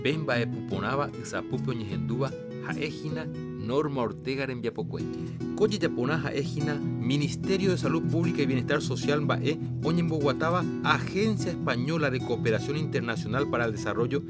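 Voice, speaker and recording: Male announcer, formal closing lines, loud guitar music underneath. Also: medium pitch, animated, moderate pace. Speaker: radio announcer.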